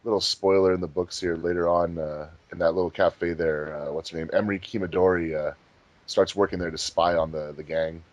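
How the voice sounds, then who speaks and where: A man's voice: low voice, fairly even intonation, brisk, muffled recording. A man chatting casually and explaining things as host of a commentary track.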